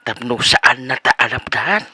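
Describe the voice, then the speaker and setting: Actor as an eager, bright-voiced middle-aged suitor. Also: male voice, medium pitch, lively intonation, normal speed, husky, dry recording, heavily acted. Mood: wheedling. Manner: stammering, wheedling. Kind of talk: Ilocano radio comedy drama acting.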